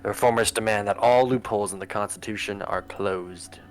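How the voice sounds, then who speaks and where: A man voicing a formal government adviser who briefs the president in a measured, expository way in a political game. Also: on the low side, fairly even intonation, natural voice. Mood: formal briefing. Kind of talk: scripted political game voice-over. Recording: music under the voice. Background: background music.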